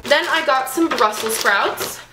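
A young woman chatting casually and upbeat as she shows her groceries, with lots of "like" and quick asides. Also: high-pitched, over loud music. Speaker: fitness vlogger. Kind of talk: casual grocery haul vlog. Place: small bedroom, indoors. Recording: echoey room.

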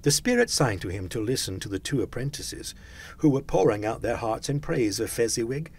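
Animated man reading a classic story. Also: mid-range voice, fairly quick, gravelly, husky. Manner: animated, stretching words for emphasis. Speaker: audiobook reader. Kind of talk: expressive storybook narration.

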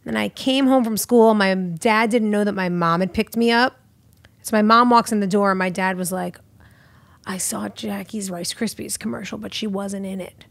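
A lively woman in her thirties trades teasing banter and stories as a podcast guest. Her voice sits at an ordinary middle pitch, recorded dry and close on a studio mic in a living room. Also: normal speed, creaky, dry recording. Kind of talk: casual chat. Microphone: studio mic on boom arm, headphones.

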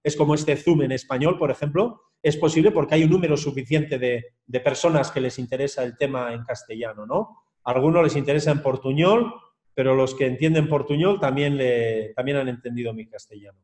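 A man giving an academic webinar lecture in a lively, conversational way, often adding quick tag questions over a video call. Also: medium pitch, echoey room.

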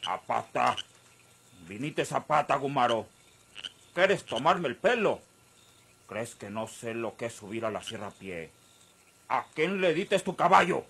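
An actor playing a menacing, suspicious boss with a gravelly, husky voice and a rural northern Mexican accent in an old radio serial. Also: male voice, medium pitch, big pitch swings, measured pace, pauses often, a bit bright, exaggerated voice. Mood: distrustful.

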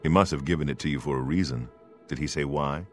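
An actor playing a dutiful imperial bodyguard knight in a fantasy game, grave, earnest and soldierly. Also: male voice, a bass voice, fast talker, faint music behind. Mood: earnest, explanatory. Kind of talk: fantasy video game acting.

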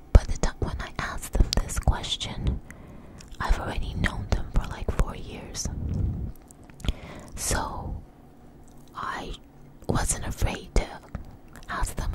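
Slow whispering woman, ASMR storytelling. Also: medium pitch, poor audio. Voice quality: breathy, unvoiced whisper. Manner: halting, unhurried, with pauses. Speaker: ASMR video creator. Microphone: close microphone.

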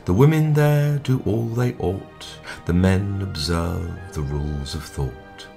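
A man giving a dramatic poetry recitation in a measured, expressive way, with hushed line endings. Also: low voice, vocal fry. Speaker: poetry reader. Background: music.

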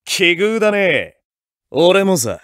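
Brash young samurai fighter: high, animated, rough street swagger, clean dry booth. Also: male voice, slow, no room sound, full character voice. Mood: cocky. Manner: cocky, rough Edo-style street talk. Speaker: game character voice. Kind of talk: fighting game voice clips. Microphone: dry studio mic.

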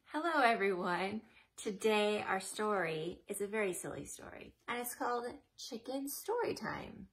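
Slow sing-song woman reading to kids. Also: mid-range voice, lively intonation, fairly bright tone. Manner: sing-song, exaggerated and slow for young children. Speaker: children's librarian. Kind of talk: children's storytime reading. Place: living room at home, then a library.

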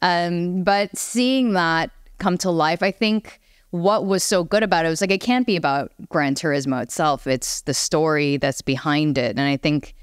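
Female podcast panelist, notably low clear voice, dry recording, earnest and informal delivery. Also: moderate pace, no echo. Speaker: podcast panelist discussing films and games. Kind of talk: casual panel chat.

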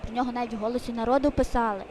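A woman's voice: on the high side, steady tone, rapid-fire, a little mellow, lightly acted. A woman voicing a frightened, gossipy young boy in a cartoon, telling tales breathlessly with a western Ukrainian accent. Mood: fearful, gossiping. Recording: outdoor street noise.

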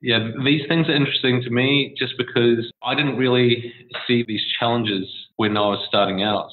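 A man's voice: medium pitch, moderate pace. A man, a startup co-founder giving long, easygoing interview answers with frequent restarts. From New Zealand. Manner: relaxed and discursive, with many restarts and fillers. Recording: tinny phone-like line.

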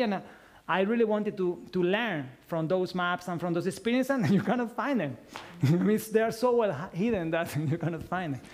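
A man in his thirties answering audience questions at a talk, speaking haltingly with many restarts into a headset mic in a lecture room. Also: sits high. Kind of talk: conference Q&A.

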